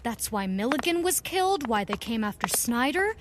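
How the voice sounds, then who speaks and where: An actress playing a confident, inquisitive investigator with a wry, expressive delivery in an audio drama. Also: female voice, on the high side, moderate pace, background noise. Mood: thoughtful.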